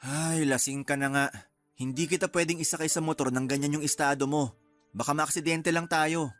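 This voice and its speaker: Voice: medium pitch, steady tone, a little fast, fairly bright tone, faint music behind, close to an everyday voice. An actor playing a guarded, protective young love interest in a radio drama, with a Filipino accent and restrained delivery. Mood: guarded, concerned.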